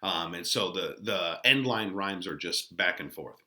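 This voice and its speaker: A man's voice: low voice, lively intonation, measured pace, bright, forceful tone. A man giving informal lesson commentary on a play as a teacher, explaining it in colloquial paraphrase. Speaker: literature teacher.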